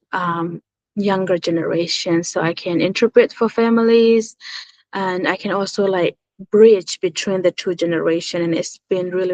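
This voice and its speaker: A young woman from Burma, speaking English as a later language, telling her own story in a halting way with many pauses and fillers. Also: mid-range voice. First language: Zaniat. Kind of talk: personal testimony, recorded talk.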